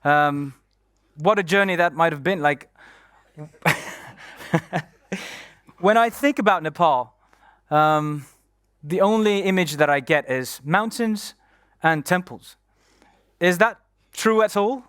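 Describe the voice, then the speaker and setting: A man's voice: high-pitched, slow, pauses often, fairly bright tone, clear, resonant tone, dry recording, studio quality. A man hosting a live conference session, introducing and interviewing a guest on stage in an upbeat, playful way. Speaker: stage host and moderator.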